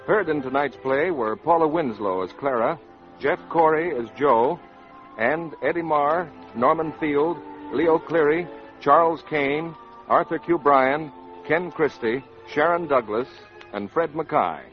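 A man's voice: fairly high pitch, a little slow, soft background music. A man announcing on 1940s radio in a polished, formal manner. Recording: muffled 1940s radio, audience noise.

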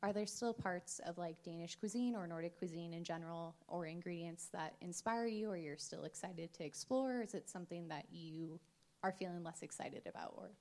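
A woman's voice: lowish voice, a little mellow. Chatty American woman asking a question. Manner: quick, chatty, rambling question. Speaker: audience member asking a question.

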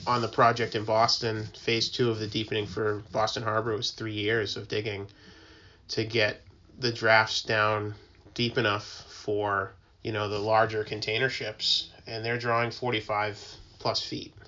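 Low-voiced guy chatting, pretty monotone, halting casual explanations, slightly dull lo-fi audio. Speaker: tugboat mariner, podcast guest.

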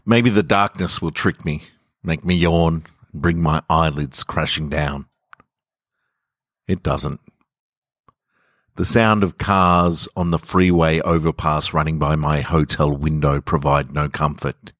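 Man narrating as lonely insomniac lover, very low pitch, slow, brooding, literary audiobook style. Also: steady tone, fairly bright tone, no room sound, clean studio sound, full character voice. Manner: brooding, measured. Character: lonely, sleepless lover lying in a hotel bed. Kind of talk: literary first-person story reading.

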